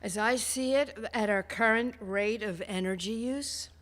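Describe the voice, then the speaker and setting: A woman with a mid-pitched voice gives a report. She goes slowly and deliberately, keeping a deadpan manner. Long reverb from a hall surrounds her. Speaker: treasurer giving donation report. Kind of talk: measured reporting, reading out notes.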